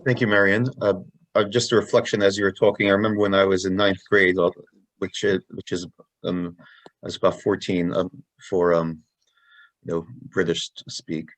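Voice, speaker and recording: A man hosting a question-and-answer session, speaking haltingly with lots of ums. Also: low voice, flat delivery, no room sound, rough recording. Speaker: host. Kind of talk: moderating a Q&A.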